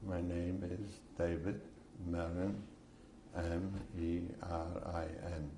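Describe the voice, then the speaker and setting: A man in his seventies from Poland, giving slow, measured answers in an oral history interview. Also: deep voice, level tone, background noise. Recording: echoey room.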